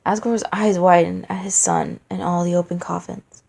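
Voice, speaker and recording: A woman's voice: fairly low pitch, fairly even intonation, normal speed, creaky, a little mellow. A woman narrating a dramatic story from a script as a fan fiction reader.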